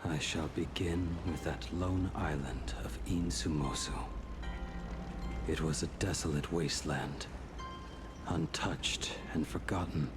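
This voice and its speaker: Game role: somber ex-craftsman warrior, bottomless low register, unhurried, hushed and brooding, music underneath. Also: fairly even intonation. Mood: somber, reflective. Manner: grave, deliberate, understated, brooding. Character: weary, somber swordsman and former craftsman seeking life's end. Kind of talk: dramatic video game voice acting.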